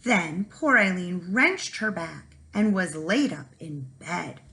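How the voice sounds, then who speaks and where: Animated woman reading to young children, creaky edge. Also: on the low side, slow. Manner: animated, dramatic emphasis. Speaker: first grade teacher reading aloud. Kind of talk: expressive children's storybook read-aloud.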